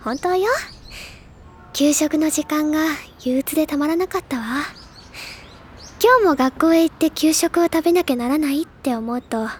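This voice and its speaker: A woman playing an eager, book-loving high-school girl in an anime-style drama CD, speaking politely and dreamily. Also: moderate pace, close to an everyday voice. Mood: wistful. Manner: bright, excitable and wistful, polite feminine speech. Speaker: book-loving older student.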